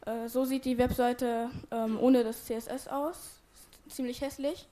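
A woman's voice: fairly high pitch, fairly even intonation, unhurried, dark tone. A woman presenting her team's web project and giving a live demo, with many hesitant fillers. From Germany. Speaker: student presenter.

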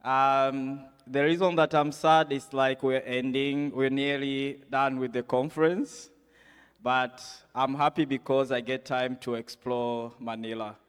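A man's voice: medium pitch, fairly even intonation, measured pace, clean tone. A man moderating a conference session, upbeat and full of fillers as he coaxes the crowd, on a handheld mic in a large hall. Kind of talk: casual event moderating.

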